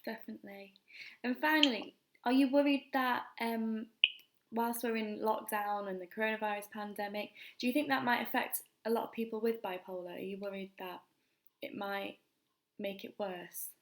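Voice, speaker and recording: Woman interviewer with a gravelly edge. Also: mid-range voice, steady tone, normal speed. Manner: plain, direct questions. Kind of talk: asking interview questions.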